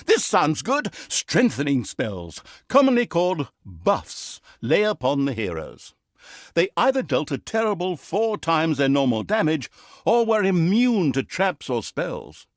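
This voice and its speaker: A pompous, sardonic fantasy game narrator, smug and petty. His fairly high male voice swoops wildly up and down as he hams up the storytelling, all in a very dry, close studio sound. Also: wildly swooping pitch, measured pace, no room sound. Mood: grandly self-satisfied. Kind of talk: theatrical comic storytelling narration.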